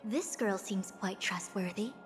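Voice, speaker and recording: A woman playing a composed, imperious master diviner in a video game, speaking formally and with measured authority. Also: mid-range voice, natural voice. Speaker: game character voice actor. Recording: long reverb, game music underneath.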